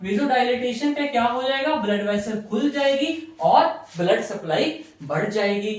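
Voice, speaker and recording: A woman with an Indian accent giving an explanatory lecture in a didactic way, posing questions and answering them herself, mixing in English technical phrases. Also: on the high side. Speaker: physiotherapy lecturer. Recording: very echoey room, distant microphone.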